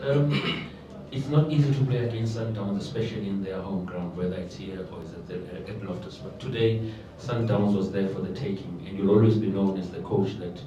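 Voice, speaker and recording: A man with a low voice poses a pointed reporter's question. The room echoes heavily and he sounds away from the microphone. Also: lo-fi sound. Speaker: sports reporter. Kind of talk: challenging press conference question. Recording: echoey noisy room, distant mic.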